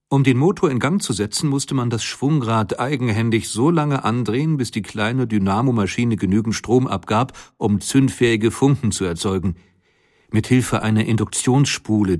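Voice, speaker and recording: A man playing a methodical inventor who recounts his technical work in a measured, matter-of-fact way, as in a scripted memoir. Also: medium pitch, a little mellow, no echo, clean studio sound, close to an everyday voice. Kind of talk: scripted first-person memoir reading.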